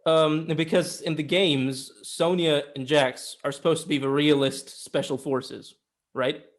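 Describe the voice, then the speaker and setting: A man's voice: a little high, fairly even intonation, a little slow. A man co-hosting a casual review discussion, speaking in an informal, opinionated and emphatic way. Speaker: film review show co-host.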